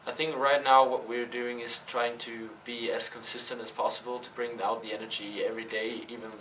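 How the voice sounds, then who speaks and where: A man's voice: mid-range voice, level tone, fairly quick, a bit bright. A young male college tennis player answering post-game questions, hesitant, with many fillers and restarts. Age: young adult. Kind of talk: post-game press interview. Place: press backdrop room. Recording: slightly distant, faint room noise.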